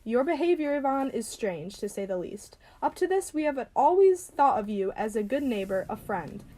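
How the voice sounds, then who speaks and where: An actress playing a stubborn, quarrelsome young landowner's daughter in a theatrical stage comedy, emphatic and quick to flare up. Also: female voice, fairly high pitch, big pitch swings, very noisy. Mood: indignant, insistent.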